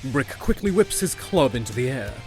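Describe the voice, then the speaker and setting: A man narrates a fantasy adventure drama from a script. His voice sits at a middle pitch, with music clearly playing behind the narration. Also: big pitch swings, normal speed.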